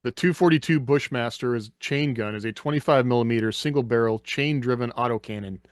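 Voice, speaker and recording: A man's voice: mid-range voice, brisk. A man talks in an informal, conversational way as part of a podcast panel. The sound is very dry, free of room echo. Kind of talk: casual chat.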